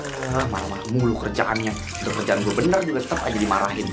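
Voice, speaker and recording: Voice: mid-range voice, fast talker, natural voice. A performer playing a young female secretary, flustered and resentful, with colloquial Jakarta Indonesian in a scripted office drama. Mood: exasperated, resentful. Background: music and cooking sounds.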